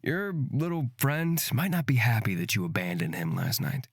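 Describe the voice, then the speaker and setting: A man's voice: mid-range voice, very animated, normal speed, vocal fry, slightly rough, dry recording, heavily acted. A man voicing a proud, teasing young man in a fantasy visual novel, with a mocking, brusque manner. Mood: irritated.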